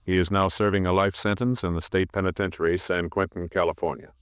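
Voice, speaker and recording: A man's voice: low voice, flat delivery, quick pace, clean tone, no room sound, clean studio sound. An American man reading scripted 1950s radio drama announcements in a solemn, formal manner. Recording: vintage radio recording, loud brass music. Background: loud orchestral brass music.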